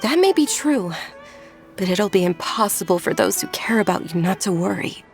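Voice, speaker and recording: An actress playing a gentle, refined young noblewoman in a video game, speaking politely and with earnest care. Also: medium pitch, animated, normal speed, creaky. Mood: worried. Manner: polite, soft, sympathetic. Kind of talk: video game character acting. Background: faint game music.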